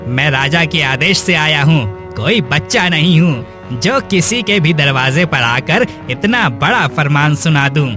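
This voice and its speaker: A man's voice: fairly high pitch, lively intonation, unhurried. A man playing a stern royal soldier in a cartoon moral story, formal and commanding. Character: stern royal soldier delivering the king's orders. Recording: music behind the voice.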